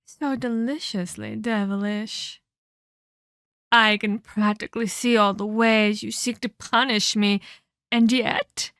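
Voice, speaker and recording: A woman performs a sinister female vampire captor in a dramatic ASMR roleplay. She speaks slowly and deliberately, with theatrical, condescending menace and taunting scorn. The sound is very dry, with no room around the voice. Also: on the high side, vocal fry, a bit bright, no room sound, heavily acted. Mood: mocking, amused.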